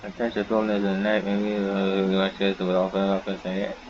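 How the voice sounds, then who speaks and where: A man's voice: low voice, monotone, fairly quick. A man giving a casual video game playthrough commentary, rambling and reading the on-screen text aloud in a half-mumble. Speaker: gaming YouTuber. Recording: dull, noisy home gaming recording.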